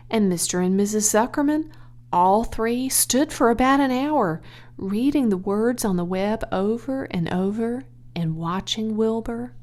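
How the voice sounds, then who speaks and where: Expressive woman reading a storybook aloud. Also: medium pitch. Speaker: audiobook narrator. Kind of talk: scripted storybook narration.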